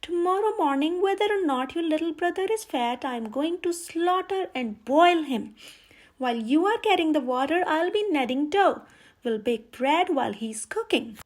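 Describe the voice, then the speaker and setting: A woman's voice: high-pitched, animated, moderate pace, voice pushed up. A woman voicing a wicked old storybook witch, sly and bossy, with a commanding, menacing manner. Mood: harsh, commanding. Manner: commanding, scolding, falsely sweet when coaxing.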